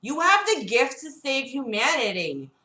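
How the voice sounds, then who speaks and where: A woman's voice: high voice, very animated, measured pace, pushed and bright, pitched above the performer's own voice, exaggerated voice. A woman doing a stern righteous heroine. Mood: reproachful. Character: stern righteous woman warrior confronting a traitor. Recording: roomy echo.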